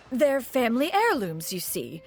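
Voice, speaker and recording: Proud immortal lady, haughty, archaic diction, unhurried, swooping intonation, video game drama. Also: on the high side, heavily acted. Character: proud, refined immortal woman, haughty master artisan.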